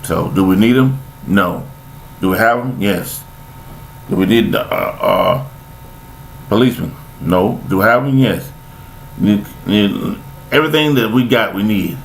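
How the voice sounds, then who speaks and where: Gravelly older man preaching folksy advice. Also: lowish voice, unhurried, long pauses, slight background noise. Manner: preachy, folksy, repeats himself. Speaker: vlogger giving life commentary. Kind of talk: rambling spoken monologue.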